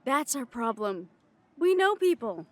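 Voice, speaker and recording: A woman's voice: high voice, big pitch swings, unhurried, dry recording. A woman playing a cautious, frank werewolf second-in-command in an audio drama, with a questioning, dryly sardonic manner. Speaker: voice actor in audio roleplay. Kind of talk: scripted audio roleplay drama.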